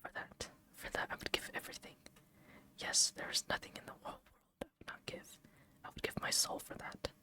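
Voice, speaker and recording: A beautiful young man in despair, spoken wholly in a hushed whisper. The whisper is crisp and bright, close and intimate. A reader whispers him out in an audiobook. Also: a little fast. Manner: soft whisper.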